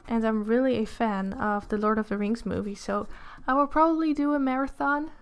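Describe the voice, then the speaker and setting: A woman in her twenties chatting casually on an art livestream while she draws, unhurried and pausing between short phrases. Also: a little high, clean tone. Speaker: art streamer and pastel artist. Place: indoors at a drawing desk.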